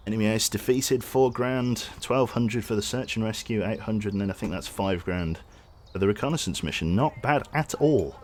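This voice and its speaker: Guy streaming a game, kinda hoarse, swears a lot, bouncy pitch. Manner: excitable, swears casually, talks to crew. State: losing his voice. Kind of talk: gameplay commentary.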